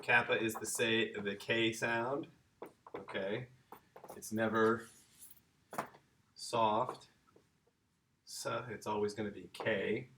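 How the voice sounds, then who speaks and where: A middle-aged man with a fairly low voice teaches a laid-back video lesson. He talks in a chatty, easygoing way and stops to fix his own mistakes as he writes on a board. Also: slow, pauses often, a little hiss or hum. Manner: relaxed and chatty, self-correcting while writing on a board. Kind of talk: casual classroom video lesson.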